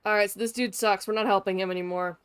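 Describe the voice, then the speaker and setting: A woman's voice: medium pitch, fairly even intonation, fast talker, a bit bright. A woman giving casual, sweary, blunt and joking live commentary as a game streamer.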